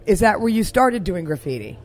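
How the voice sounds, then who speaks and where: A woman's voice: mid-range voice, sing-song, brisk, darkish tone, soft background music. A woman co-hosting a casual interview, asking enthusiastic questions. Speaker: co-host.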